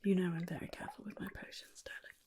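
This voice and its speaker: A tender vampire lady, played by a woman, speaks in a hushed, breathy whisper. She fusses lovingly over her darling, reassuring softly and without hurry. The delivery is intimate ASMR roleplay, gentle and soothing throughout. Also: on the low side, level tone, natural voice. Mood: coaxing, reassuring. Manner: soothing, unhurried, tender reassurance with repeated soft phrases.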